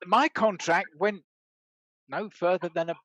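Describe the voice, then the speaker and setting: A man's voice: high-pitched, fairly even intonation, measured pace, a bit bright, no room sound. A man playing a good-natured, bumbling country knight in a period comedy, stammering and blustering along. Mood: sentimental. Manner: hesitant stammering, rustic bluster. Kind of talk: scripted stage comedy acting.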